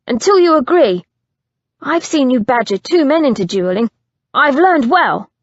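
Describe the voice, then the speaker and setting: A woman voicing a vengeful, menacing young man who shouts threats in a storybook reading. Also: sits high, big pitch swings, unhurried, no room sound, highs cut off, voice pushed up, exaggerated voice. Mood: threatening.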